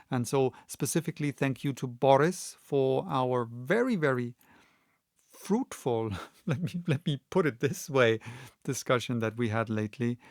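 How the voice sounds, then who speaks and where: A man hosting and reading audiobooks, speaking deliberately in short, separated phrases. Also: mid-range voice, smooth, dry recording, clean studio sound. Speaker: audiobook reader and channel host. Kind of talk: casual introduction and expressive audiobook narration.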